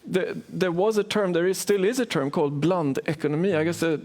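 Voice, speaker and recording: A man speaks on a panel, weighing his words and restarting often. His voice sits a little high for a man. He talks in a measured, thinking-aloud way. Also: darkish tone. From Sweden. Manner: hesitant, many restarts. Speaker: economist panelist. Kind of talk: panel discussion.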